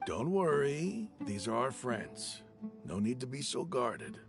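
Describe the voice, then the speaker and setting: A man playing a hearty, grandiose desert mercenary in a video game, with flowery, expressive speech. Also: medium pitch, slow pace, gravelly, full character voice. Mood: friendly. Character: hearty male desert mercenary, warm, theatrical, flowery-spoken. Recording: guitar music under the voice.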